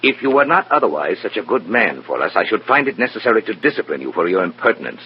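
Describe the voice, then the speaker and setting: An actor playing a stern, contemptuous Communist Party boss with clipped, formal, imperious speech in an old radio drama. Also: male voice, on the low side, big pitch swings, fast talker, slightly rough, heavily acted. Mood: cold, authoritative. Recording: dull, noisy, humming old radio recording.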